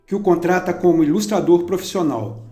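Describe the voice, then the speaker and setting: A man reads a documentary script in a measured, steady way. His voice sits a touch high yet sounds mellow and warm. The sound is roomy, with faint instrumental music underneath. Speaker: documentary narrator. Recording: roomy narration over soft instrumental music.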